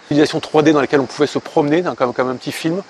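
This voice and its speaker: A man's voice: fairly high pitch, rapid-fire. A man giving casual interview testimony as a building design professional, colloquial and often repeating words. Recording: noticeable ambient background noise.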